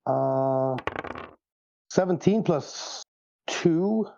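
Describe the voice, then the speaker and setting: A man's voice: a little high, lively intonation, extremely slow, creaky, mellow, slight background noise. A man playing casually in a tabletop fantasy game, heard through a dull microphone. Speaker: player.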